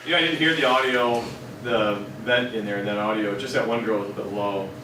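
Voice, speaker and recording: A man's voice: lowish voice, steady tone, a little fast. A man, an instructor, chatting casually as he leads a classroom review. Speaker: instructor leading a class critique. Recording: echoey noisy room, far from mic.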